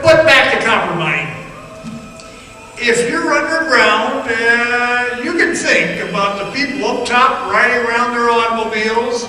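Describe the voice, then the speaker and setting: Male actor as haunted ex-mine guide, radio drama monologue. High-pitched, forceful, folksy and ominous, recorded far off in an echoing hall. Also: full character voice. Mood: folksy, wry. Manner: folksy, rambling, ominous, halting with pauses. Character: haunted middle-aged former copper-mine guide recounting a dark tale. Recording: roomy echoing hall, distant mic, faint noise.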